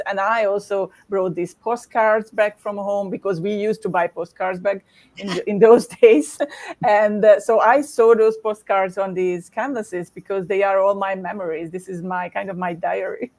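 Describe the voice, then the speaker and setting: A woman from Hungary, talking as a guest artist on a video call in a warm, chatty way with laughs between her words. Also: medium pitch, steady tone, normal speed. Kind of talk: casual interview talk.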